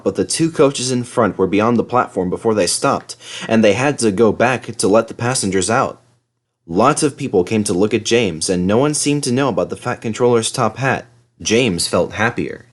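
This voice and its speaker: A man reading a scripted children's story aloud as narrator. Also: fairly low pitch. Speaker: storybook reader.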